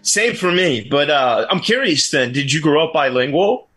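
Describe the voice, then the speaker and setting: A man's voice: fairly high pitch, lively intonation, moderate pace, pushed and bright, a little hiss or hum. A man in his thirties hosting a casual interview, asking loose, friendly questions. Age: 30s. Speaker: interviewer, host.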